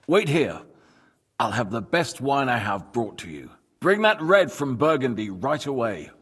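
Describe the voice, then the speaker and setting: An actor in a video game cutscene playing a courteous, cautious lord of a medieval fortress, formal and guarded, with a husky voice. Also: male voice, mid-range voice, very animated, measured pace, a bit bright, exaggerated voice. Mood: resolute, grateful.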